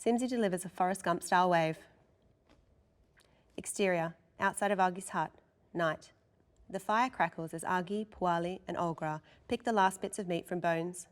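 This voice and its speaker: A woman's voice: on the low side, moderate pace. A woman reading scripted narration and stage directions as narrator at a table read.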